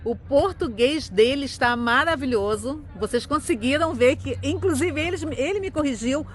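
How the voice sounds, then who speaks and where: A woman's voice: sits high, animated, normal speed. A Brazilian woman with a Brazilian accent, hosting a vlog and chatting with her guest while talking straight to her viewers. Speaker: vlog host. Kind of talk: casual interview chat.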